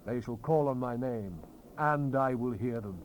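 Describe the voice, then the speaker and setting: A man's voice: mid-range voice, a little slow. A man giving a solemn, declamatory dramatized reading of the Bible as narrator. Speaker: scripture narrator. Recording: noisy 1970s cassette rip.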